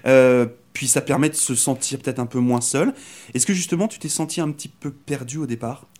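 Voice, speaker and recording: A man hosts an interview at a brisk clip, chatty and loose. He fills his questions with hesitations and repeated words as he talks. His pitch is ordinary for a man. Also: a little mellow. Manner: rapid, chatty, many fillers and repeats. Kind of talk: casual interview.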